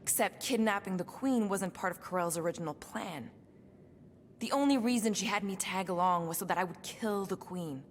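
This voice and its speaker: An actress playing a guarded, serious female assassin in a video game, speaking in a measured, dry, deliberate way. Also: mid-range voice, long reverb tail, a little hiss or hum, lightly acted. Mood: somber. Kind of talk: dramatic video game acting.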